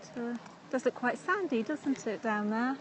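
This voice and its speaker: English woman, fairly high voice, chatty lakeside walk, phone mic, faint outdoor noise. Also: animated, normal speed. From England. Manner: chatty, with frequent fillers and laughs. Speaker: co-host of a live walking stream. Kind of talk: casual chat while walking outdoors.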